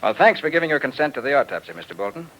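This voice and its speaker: Quick, probing radio detective. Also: male voice, mid-range voice, very animated, a bit bright, poor audio. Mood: analytical. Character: quick, probing male private detective, coolly analytical. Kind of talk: 1940s radio mystery acting. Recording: old-time radio recording.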